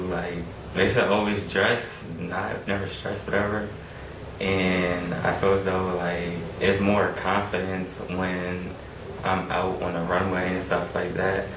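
A young man talking to camera about his own life, rambling with many fillers. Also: low voice. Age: young adult. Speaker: youth program member. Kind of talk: personal testimony to camera. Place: small room against a plain wall. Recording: echoey room, distant mic, background noise.

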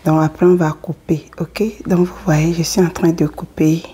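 Low, husky and gravelly female voice with a head cold; plain step-by-step sewing tutor, not a native French speaker. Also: flat delivery, moderate pace, warm tone. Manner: plain step-by-step explaining, often asks the viewer if they follow. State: has a cold, hoarse. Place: indoors at a table.

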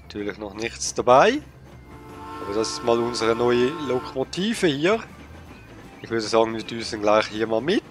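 A man with a cold, thinking aloud with many pauses and fillers while commenting on a game he plays. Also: medium pitch, animated, faint music behind. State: has a cold. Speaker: let's play gamer. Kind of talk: casual gameplay commentary.

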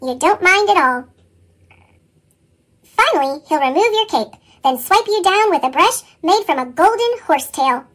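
Perky cartoon chipmunk storyteller: very squeaky, animated, pitch-shifted, for expressive picture book read-alouds. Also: female voice, moderate pace. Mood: perky, admiring. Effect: sped-up chipmunk pitch shift. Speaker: storybook reader.

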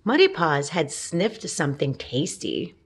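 A woman reading a children's picture book aloud, gently, with asides and questions to young listeners. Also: deep voice, very animated, measured pace, breathy edge. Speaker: storybook reader.

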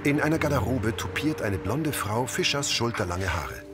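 A man's voice: mid-range voice, very animated, moderate pace, loud music behind the voice. A man narrating scripted scene descriptions in short, concise present-tense sentences.

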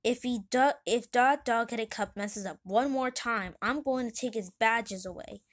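Woman playing a slow, bossy, indignant town mayor. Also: on the high side, slight background noise, close to an everyday voice. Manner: indignant, barking orders. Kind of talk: children's comic read aloud.

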